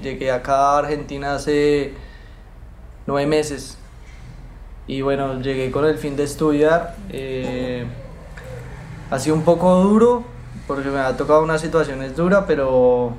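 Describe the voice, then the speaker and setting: A man from Bogotá with a Colombian accent, telling his story haltingly with many pauses, fillers and restarts. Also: mid-range voice, slight background noise. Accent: Bogotá Colombian Spanish. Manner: hesitant, many fillers and restarts, soft-spoken. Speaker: interviewee, migrant. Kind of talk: halting personal account.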